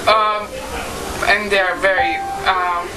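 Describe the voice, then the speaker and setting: A woman from Lithuania, answering a street interview hesitantly with many pauses. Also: medium pitch, flat delivery, heavy background noise. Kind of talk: vox pop interview answer. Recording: distant mic, loud background music.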